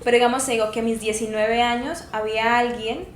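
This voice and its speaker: A woman's voice: on the high side, steady tone, brisk, slight background noise. A woman in her twenties with a Colombian Spanish accent, co-hosting a podcast and telling her own stories in a chatty way, laughing often. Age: 20s. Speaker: podcast co-host. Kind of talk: casual chat. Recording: echoey room with faint ambience.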